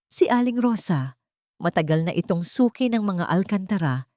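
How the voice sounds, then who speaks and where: A woman narrating a dramatic scripted audio story in deliberate, suspenseful phrases. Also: lowish voice, expressive, a little mellow, no echo, clean studio sound.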